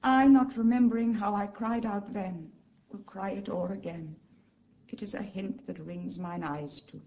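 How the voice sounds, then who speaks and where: An actress playing a dutiful, tender-hearted young daughter, speaking classical Shakespearean verse with earnest feeling. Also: female voice, mid-range voice, fairly even intonation, measured pace, lo-fi sound, a little hiss or hum, natural voice. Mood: sorrowful. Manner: earnest, emotional, formal verse delivery. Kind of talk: classical Shakespearean stage acting.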